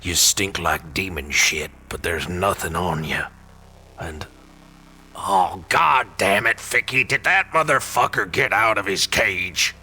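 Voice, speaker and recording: A man acting a stern, intimidating old one-eyed demon hunter, gruff and commanding, in an audio drama. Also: deep voice, slow pace, pushed and bright, full character voice. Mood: gruff, irritated.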